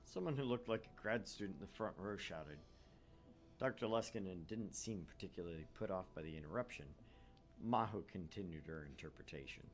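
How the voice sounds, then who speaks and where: A man's voice: low voice, a little fast, soft background music. A man hosting a stream, playful and chatty, moving between casual talk and narrating a visual novel. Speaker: streamer, co-host.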